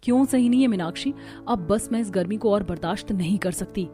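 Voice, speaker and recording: A woman's voice: mid-range voice, brisk, dark tone, soft background music, close to an everyday voice. A woman voicing a fussy young daughter-in-law who complains indignantly in a Hindi cartoon story.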